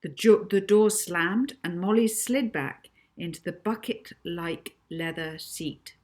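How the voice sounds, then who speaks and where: A woman's voice: fairly low pitch, slow, darkish tone. A woman narrating a children's novel as its author, in a scripted storytelling style. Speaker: author reading own novel.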